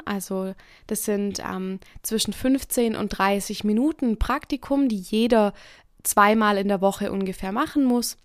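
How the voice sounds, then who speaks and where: A woman's voice: medium pitch, no room sound, clean studio sound. A young woman hosting a solo podcast in her native German, speaking earnestly and reflectively with frequent "ähm" fillers. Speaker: podcast host. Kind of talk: casual solo podcast monologue.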